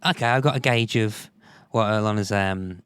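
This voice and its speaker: A man hosting a music podcast in casual chat, his voice a bit croaky, rambling with frequent restarts. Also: fairly low pitch, moderate pace, a bit bright, dry recording, studio quality. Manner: rambling, restarts often, chuckles. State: slightly croaky voice. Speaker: podcast host.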